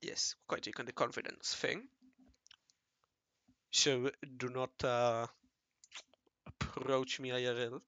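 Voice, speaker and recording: Raspy guy mumbling thru his drawing session, kinda gravelly, bright edgy tone. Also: medium pitch, fairly even intonation, slow, pauses often, dry recording, muffled recording. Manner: mumbling and self-correcting, often murmuring half to himself. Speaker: hobby artist narrating his drawing session. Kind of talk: casual think-aloud commentary while drawing.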